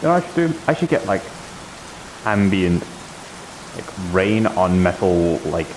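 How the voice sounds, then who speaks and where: Low-voiced man over clear rain-like hiss. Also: very animated, a little slow. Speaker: streamer role-playing in a VR game. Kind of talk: improvised in-character roleplay dialogue.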